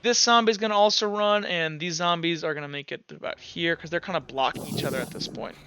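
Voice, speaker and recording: A man's voice: on the high side, fast talker, noisy background. A young man running a tabletop game as game master, rambling with fillers and self-corrections.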